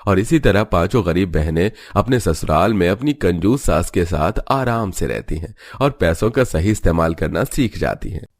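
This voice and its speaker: A man's voice: low-pitched, expressive, normal speed, few pauses, no echo. A man reading scripted storybook narration for a cartoon.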